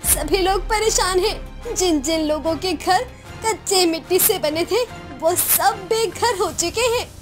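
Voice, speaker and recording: Woman acting as worried villager, female, very high voice, distressed, cartoon story, prominent background music. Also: a little slow. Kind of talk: cartoon moral story dialogue.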